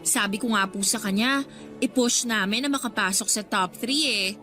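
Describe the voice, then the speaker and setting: A woman's voice: on the high side, normal speed, bright, forceful tone, faint music behind. A woman playing a confident, ambitious teenage schoolgirl with a Filipino accent in a radio drama, perky and a bit smug. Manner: perky, self-assured, smug.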